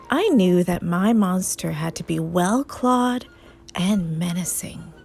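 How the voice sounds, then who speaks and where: A woman reading a children's storybook aloud as an expressive narrator. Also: mid-range voice, gravelly, husky. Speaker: story reader, channel host. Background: music and sound effects.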